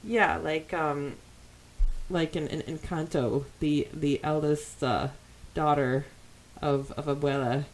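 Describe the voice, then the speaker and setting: A woman hosting a podcast, chatting casually and reading listener comments aloud in an animated way. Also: low-pitched, slow, rough recording. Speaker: podcast host.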